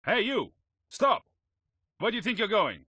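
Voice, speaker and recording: A man's voice: sits high, sing-song, measured pace, sharp tone, breathy edge, dry recording, clean studio sound, heavily acted. A man playing a stubborn, suspicious site guard in a video game cutscene, confrontational and emphatic. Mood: suspicious, stern.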